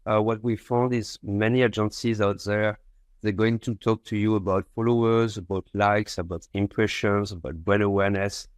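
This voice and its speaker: A man's voice: fairly low pitch, no echo. A man from France with a French accent, explaining his business conversationally as an interview guest. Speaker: company founder, podcast guest.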